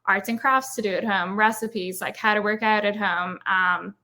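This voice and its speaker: A woman with an Irish accent, chatting loosely in a webinar and leaning on lots of "like" and "um". Her voice is bright and forward, and it comes over a video call. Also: medium pitch, fairly even intonation, normal speed. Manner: chatty, many "like" and "um" fillers. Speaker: guest speaker, brand marketer. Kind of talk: casual webinar interview.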